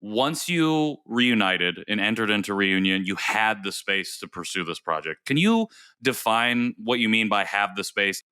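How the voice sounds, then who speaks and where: A man's voice: lowish voice, expressive, unhurried, sharp tone, clear, resonant tone, no echo, studio quality. A man hosting a podcast, earnest and a little stumbling, restarting his words as he praises and asks questions. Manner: earnest, self-correcting, stumbles over words. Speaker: podcast host. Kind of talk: casual interview conversation.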